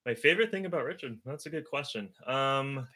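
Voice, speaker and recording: A man's voice: medium pitch, animated, brisk, sharp tone, breathy edge. A young man whose first language is Mandarin, talking earnestly and reflectively as a guest in a casual livestream chat. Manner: reflective, earnest, many fillers and restarts. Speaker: guest, older brother. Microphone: video livestream.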